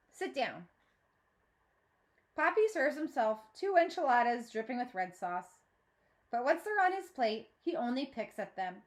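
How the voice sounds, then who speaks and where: Lady teacher doing a read-aloud, kinda high voice, really animated storytelling. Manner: expressive, acts out dialogue. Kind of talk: storybook read-aloud, first-person narration.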